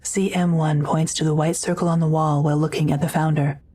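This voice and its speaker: Low, even-toned female narrator. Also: brisk. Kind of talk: scripted audio drama narration, present tense.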